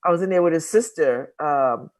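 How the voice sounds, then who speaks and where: A woman hosting a casual interview show, chatty and quick to laugh as she asks questions. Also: sits low, a little mellow. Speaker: talk show host.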